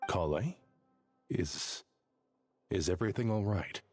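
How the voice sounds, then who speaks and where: A man voicing a kind, caring male mentor in a video game, gentle and reassuring. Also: low-pitched, expressive, takes it slowly, faint music behind. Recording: long reverberant tail.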